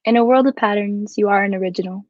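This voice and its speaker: A woman's voice: medium pitch, fast talker, no echo, muffled recording, natural voice. A woman acting a clever, bold, flirtatious adventuress in a radio drama, with a poised, formal and coy way of speaking. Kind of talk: adaptation of a 1940s radio drama.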